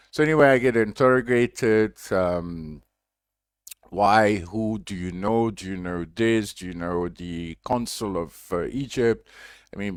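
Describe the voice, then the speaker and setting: A man's voice: sits low, no room sound, studio quality. A man from Belgium, a diver running a reef conservation project, tells a long story in a casual livestream update, rambling with lots of fillers and restarts.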